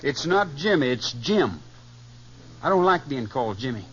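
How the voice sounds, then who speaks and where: An actor in a 1950s radio western playing a sullen, challenging young American gunslinger. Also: male voice, mid-range voice, very animated, normal speed, fairly bright tone, slight background noise. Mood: sullen, touchy. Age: young adult. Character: touchy, cocky young gunslinger spoiling for trouble. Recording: muffled old radio recording.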